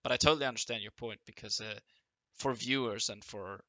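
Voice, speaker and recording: A man hosting a casual online interview, rambling with many restarts and clearing his throat as his voice goes hoarse. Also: fairly low pitch, brisk, pushed and bright, no echo. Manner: friendly, rambling, lots of restarts and fillers. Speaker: interview host. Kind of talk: casual interview over voice chat.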